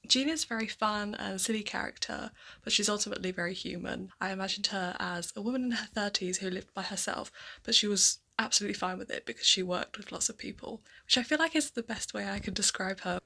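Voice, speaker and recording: A woman's voice: medium pitch, fast, gravelly, sharp tone. A woman talking casually in an interview about being a first-time voice actor.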